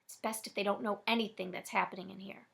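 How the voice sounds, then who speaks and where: A woman's voice: medium pitch, steady tone, quick pace, gravelly, fairly bright tone, husky, exaggerated voice. A woman voicing a tough young male robber in a storybook, talking in a blunt, streetwise way. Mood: calm.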